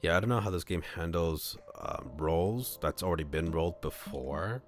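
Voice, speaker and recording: Male streamer, low register, reads story text aloud with off-the-cuff asides, music underneath. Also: moderate pace. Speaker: streamer reading a visual novel aloud. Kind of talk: livestream narration of a visual novel with casual asides.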